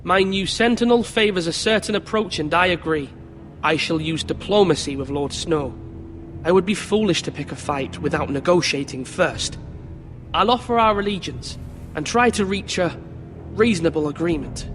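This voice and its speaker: A high-voiced, earnest young lord in a fantasy game drama. He speaks with formal, commanding resolve. Long hall reverb surrounds him, with faint music underneath. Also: lively intonation, moderate pace, fairly bright tone. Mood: measured, resolute. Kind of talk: dramatic video game acting.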